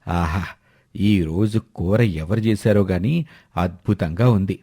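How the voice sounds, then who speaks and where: A man's voice: low voice, unhurried, mellow, breathy edge, pitched below the performer's own voice, heavily acted. A man voicing a mild older father of grown sons in a family story. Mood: pleased.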